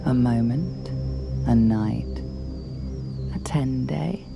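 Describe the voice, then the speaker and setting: A woman playing a solemn, ominous storyteller, narrating a dark fantasy video game in a measured, dramatic way. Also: very deep voice, gravelly, exaggerated voice. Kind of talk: scripted dramatic second-person game narration. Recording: music behind the voice.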